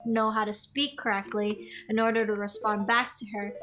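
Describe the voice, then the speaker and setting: A woman's voice: mid-range voice, little pitch movement, moderate pace, fairly bright tone, slight background noise. A young woman co-hosting a gaming show, telling a long story in a casual, meandering way with many restarts. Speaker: co-host of a gaming channel. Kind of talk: casual chat over gameplay.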